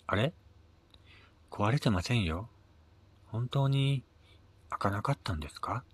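Man acting male locksmith, story reading, deep voice, polite, businesslike, brisk. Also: animated, breathy edge. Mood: puzzled. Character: polite, puzzled male locksmith on a repair call.

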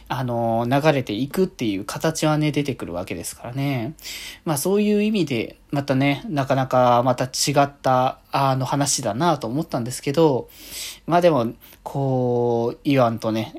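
A male podcast host talks on his own in a casual review style. His voice sits at a middle pitch, and his phrasing is polite yet conversational, with sentences often softened by trailing particles. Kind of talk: casual monologue reviewing an anime episode.

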